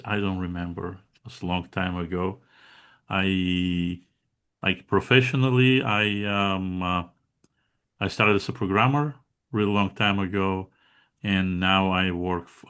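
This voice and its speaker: A Bulgarian-accented man speaks in a deep voice as an interview guest. He chats casually and laughs easily, and his sentences are full of fillers and restarts. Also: fairly bright tone. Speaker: guest contributor.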